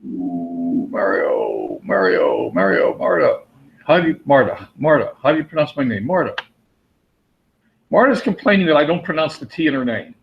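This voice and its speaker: A man's voice: mid-range voice, sing-song, normal speed, gravelly. A man with a Pennsylvania Dutch accent hosting a live webinar, chatting with viewers and calling on them by name. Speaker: webinar host. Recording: dull lo-fi audio.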